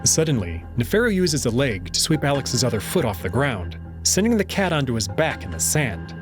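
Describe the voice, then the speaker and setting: A man's voice: medium pitch, big pitch swings, normal speed, music in the background. A man reading scripted first-person narration from a visual novel. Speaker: narrator and reader.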